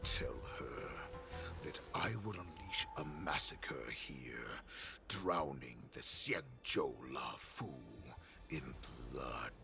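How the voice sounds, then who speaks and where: A male actor playing a bloodthirsty, grandiose wolf warlord in a video game, with theatrical proclamations and a breathy growl. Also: rumbling low, animated, slow pace, over music, full character voice. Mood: threatening. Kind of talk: dramatic video game voice acting.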